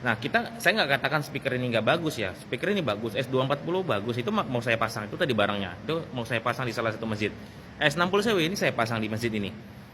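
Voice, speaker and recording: A man's voice: medium pitch, sing-song, fast. Man explaining casually over background noise. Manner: repeats phrases for emphasis, conversational. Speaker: sound technician and video host. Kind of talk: casual explanatory vlog talk.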